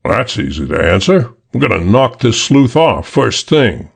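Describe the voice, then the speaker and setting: A man's voice: mid-range voice, sing-song, unhurried, creaky, slightly rough, heavily acted. A man voicing a brash, blustering male gangster who talks in tough slang. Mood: scornful. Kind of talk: audiobook character reading.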